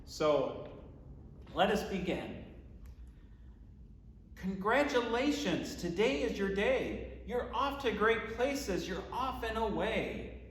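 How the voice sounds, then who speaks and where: A man, a pastor, reading a children's book aloud in rhyming verse with expressive, theatrical, deliberate phrasing. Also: sits high. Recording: echoey room with long reverb.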